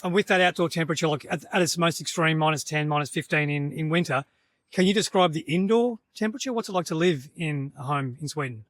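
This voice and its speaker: A man's voice: on the high side, fast talker, a bit bright, dry recording. A man with an Australian accent, presenting and interviewing guests in a conversational way as host.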